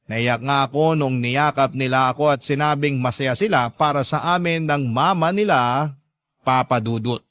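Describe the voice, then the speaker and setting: A man with a Filipino accent hosting and narrating a scripted dramatic story in a measured, sentimental way. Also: mid-range voice, fairly bright tone, no echo. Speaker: storytelling host. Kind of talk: scripted dramatic narration, reading a listener's letter.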